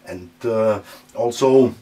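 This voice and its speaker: Slow halting man with a Czech accent. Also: fairly low pitch, dark tone. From Czech Republic. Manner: halting, searching for English words, many "uh" fillers. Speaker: channel host. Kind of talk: casual hands-on speaker demo. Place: room with speakers on a table.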